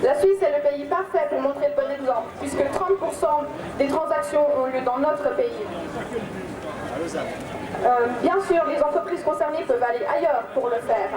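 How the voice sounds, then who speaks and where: A young woman giving a prepared political speech as a youth campaign speaker. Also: sits high. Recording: echoey, distant mic, crowd noise.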